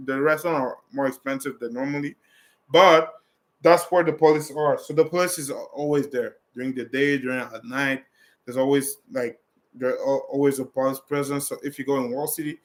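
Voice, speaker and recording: A young man answers viewer questions in a loose, conversational way, often stopping to restart his sentences. His voice sits at an ordinary middle pitch. He sounds casual and unscripted, like a vlogger talking straight to his camera. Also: a bit bright. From Canada. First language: French.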